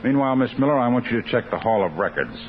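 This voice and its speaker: An actor playing a stern district attorney in a 1950s American radio crime drama, speaking with clipped, insistent authority. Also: male voice, mid-range voice, very animated, racing, close to an everyday voice. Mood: brisk, commanding. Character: stern, commanding male district attorney investigating a case. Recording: noisy, muffled 1950s radio recording.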